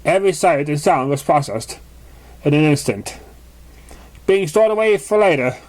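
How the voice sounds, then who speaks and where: High-pitched man reading a story, stumbling. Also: animated, moderate pace, darkish tone, rough recording. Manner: stumbles over words while reading. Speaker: amateur reader of fan fiction. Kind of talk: scripted story narration.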